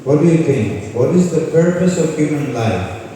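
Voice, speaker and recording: A man's voice: on the high side, sing-song, moderate pace, mellow, slight background noise. A man from Croatia, giving an informal spiritual talk and putting questions to a live audience. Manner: conversational, poses questions to the audience. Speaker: kirtan leader and spiritual speaker. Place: large indoor hall with a live audience. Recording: echoey hall, voice far from the mic.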